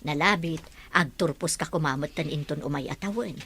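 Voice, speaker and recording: Low-toned, coaxing middle-aged mother. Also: a little fast, a bit bright, husky, heavily acted. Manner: coaxing, earnest. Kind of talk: Ilocano radio drama acting. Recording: music bed under the dialogue.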